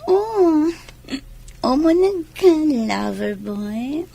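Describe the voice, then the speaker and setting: High-voiced scheming seductive woman. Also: big pitch swings, very slow, vocal fry, dark tone, exaggerated voice. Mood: seductive, taunting. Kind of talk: Filipino radio drama acting. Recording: radio drama with audible background noise.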